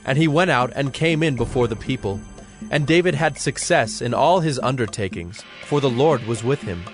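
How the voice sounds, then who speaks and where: A man's voice: medium pitch, lively intonation, normal speed. Solemn male scripture narrator over music. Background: background music.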